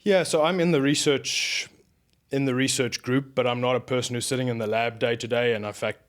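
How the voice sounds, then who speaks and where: A man in his forties from South Africa with a softened South African accent, a guest on a podcast who explains his work at length with frequent fillers. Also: mid-range voice, fairly even intonation, fairly quick. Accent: South African, softened by years in America. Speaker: guest, beef research director. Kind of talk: casual podcast interview.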